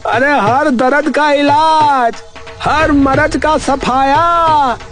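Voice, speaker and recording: A man voicing a loud, boastful young snack hawker in a moral story, calling out in a sing-song street-vendor way. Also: extremely high pitch, drawn-out words, faint music behind, exaggerated voice. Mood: hawking, eager. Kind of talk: Hindi moral story voice acting.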